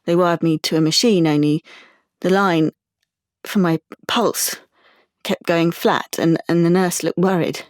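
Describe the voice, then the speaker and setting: An actress playing an anxious, wounded young woman in an audio drama, confiding haltingly and stumbling over her words. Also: fairly low pitch, steady tone, dry recording, clean studio sound, lightly acted. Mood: shaken, recounting. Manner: halting, confiding, with stammered restarts. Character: anxious, wounded young woman artist, defensive about privacy. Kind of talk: audio drama monologue and dialogue.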